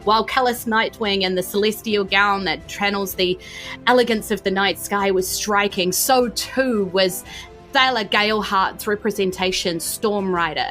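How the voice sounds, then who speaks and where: A woman's voice: mid-range voice, moderate pace, fairly bright tone, faint music behind. A woman, narrating and hosting as game master in a chatty, theatrical way. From New Zealand. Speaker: game master and podcast host.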